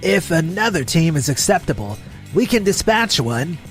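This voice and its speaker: An arrogant, easily rattled young manager from a manga romcom dub, acted by a man. His voice sits slightly high for a male and turns indignant and defensive. Soft music plays underneath. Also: very animated, normal speed. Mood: formal, evasive. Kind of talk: dramatized manga story dub.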